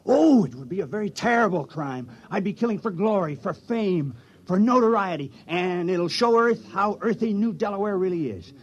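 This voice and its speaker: A man's voice: high-pitched, expressive, moderate pace, dull audio. An actor playing a timid village man who hesitates and stammers in a 1950s radio drama. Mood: pleading. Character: timid young village man made town criminal. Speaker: town criminal.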